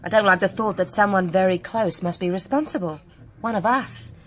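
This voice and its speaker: A woman's voice: mid-range voice, brisk, lightly acted. An actress playing a spirited, outspoken young woman in a radio drama, with a British accent and an emphatic, frank manner. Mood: frank, uneasy. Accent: British English.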